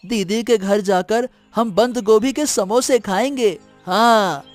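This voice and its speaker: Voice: mid-range voice, slow, soft background music, close to an everyday voice. A performer playing a carefree, eager young village boy in a cartoon moral story. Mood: cheerful, eager.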